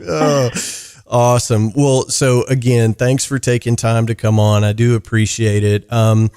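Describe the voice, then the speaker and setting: A man hosting a podcast interview in relaxed, easygoing chat, often stumbling over words and filling pauses. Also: mid-range voice, clean tone. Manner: easygoing, lots of fillers and restarts. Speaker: podcast host.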